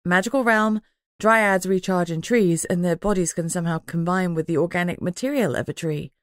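A woman narrates a fantasy audiobook in first person. Her voice sits on the low side, and the recording is dry with hardly any room around it. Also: a little fast, dry recording.

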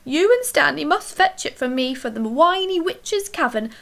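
A woman voicing a big furry monster who whines and pleads in a high wail. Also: expressive, normal speed, vocal fry, fairly bright tone, heavily acted. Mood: whining. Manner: whiny, wailing, high-pitched pleading.

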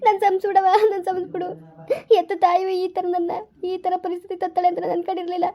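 A woman's voice: very high-pitched, little pitch movement, quick pace, lo-fi sound. A woman voicing a desperate young mother who cries and pleads over her sick son. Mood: sobbing. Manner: tearful, pleading, sobbing. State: crying.

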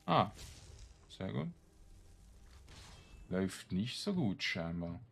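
A man giving casual gameplay commentary, musing aloud to himself in short, halting remarks. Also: low voice, sing-song, creaky, a bit bright, faint music behind. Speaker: gamer, let's play host.

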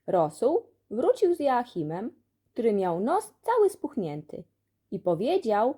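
A woman narrating a children's audiobook expressively, telling the story in an animated way. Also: fairly high pitch, unhurried, breathy edge. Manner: animated storytelling, acts out dialogue. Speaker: audiobook reader.

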